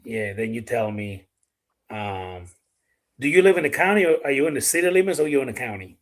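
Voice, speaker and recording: A man's voice: mid-range voice, lively intonation, fairly quick. A middle-aged man from the Dominican Republic with a Dominican accent, hosting a casual live chat, talking bluntly and swearing freely. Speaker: livestream host.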